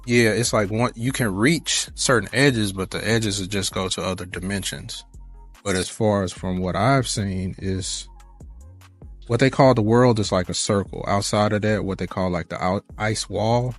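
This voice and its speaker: A man with a deep, low voice speaks casually over faint background music. His way of talking is informal and earnest, setting out his views matter-of-factly. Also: moderate pace. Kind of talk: casual chat.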